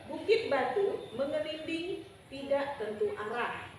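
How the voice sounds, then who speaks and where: A woman's voice: high-pitched, animated, measured pace, recorded from a distance. A woman telling a children's story expressively and prompting listeners with questions. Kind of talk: interactive storytelling to an audience. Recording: echoey room from afar, noisy.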